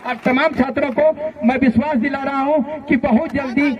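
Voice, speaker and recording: A man's voice: very high voice, steady tone, moderate pace, highs cut off. A man with a Jharkhand accent gives a colloquial, impassioned political speech to students, addressing them directly as a student leader. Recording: noisy outdoor rally, loud crowd behind.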